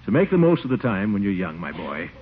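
Fond blustery uncle, lowish voice that swings around a lot, hesitant, old noisy radio show. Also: male voice, big pitch swings, quick pace. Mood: reflective, affectionate. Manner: hesitant, avuncular, theatrical. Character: fond, blustery middle-aged uncle, gently sheepish. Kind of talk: 1940s radio comedy acting. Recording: noisy muffled 1940s radio broadcast.

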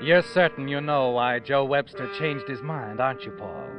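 An actor playing an ominous, knowing radio narrator, telling the story dramatically and insinuatingly in 1940s style. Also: male voice, medium pitch, moderate pace, lightly acted. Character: ominous, knowing narrator who taunts the protagonist. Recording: dull vintage radio recording. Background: dramatic music.

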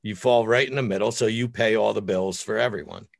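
A middle-aged American man with a deep voice, chatting casually and bluntly on a podcast as an opinionated, foul-mouthed guest. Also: fairly quick, gravelly, no room sound. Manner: opinionated, blunt, crude joking. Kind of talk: casual, profane podcast chat.

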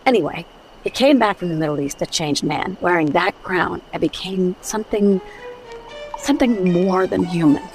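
A woman's voice: fairly low pitch, moderate pace, a little mellow, faint music behind, natural voice, poor audio. An actress playing a blunt, world-weary female adventurer in a radio detective drama. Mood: grim recollection. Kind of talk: old-time radio drama acting.

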